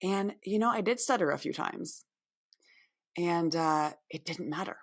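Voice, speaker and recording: A woman in her thirties from upstate New York, a podcast guest. Her voice sits low with a gravelly edge, and she talks in a candid, reflective way, often circling back and restarting her words. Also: unhurried. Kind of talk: podcast interview.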